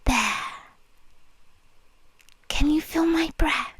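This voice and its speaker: A woman's voice: sits high, steady tone, dry recording. A woman playing a whispering possessive nurse. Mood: coaxing. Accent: British. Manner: slow, soft, intimate whispering close to the ear. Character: possessive female nurse, soft and controlling. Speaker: ASMR roleplay performer. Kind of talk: whispered ASMR roleplay.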